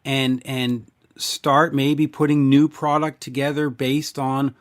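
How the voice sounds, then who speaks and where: A man's voice: mid-range voice, level tone, takes it slowly. A male host asking long, rambling interview questions with many restarts.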